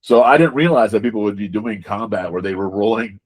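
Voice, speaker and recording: A man being interviewed as a game designer, talking casually and haltingly, often repeating words as he explains. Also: fairly low pitch.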